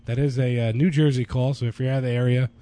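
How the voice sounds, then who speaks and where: Male podcast co-host, hoarse and coughing, crude casual banter, average pitch. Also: fairly even intonation, quick pace, mellow. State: hoarse, losing his voice. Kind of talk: casual chat.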